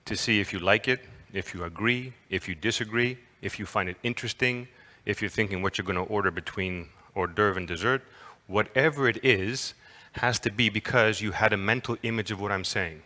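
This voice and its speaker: A man's voice: lowish voice, brisk, few pauses, bright, forceful tone. A man giving a playful, interactive lecture to an audience, often asking them questions. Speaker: conference lecturer. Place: large lecture hall. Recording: long hall reverb.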